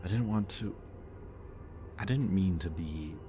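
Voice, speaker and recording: A man speaking a gentle, hesitant roleplay monologue to the listener as a soft-spoken villain. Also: sits low, a little slow, background noise.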